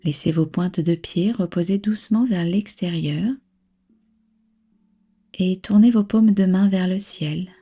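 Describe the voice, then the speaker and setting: Very slow, soft breathy woman guiding relaxation. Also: on the low side. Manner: soothing, unhurried, gently instructing. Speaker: yoga nidra instructor. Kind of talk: guided relaxation meditation. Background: background music.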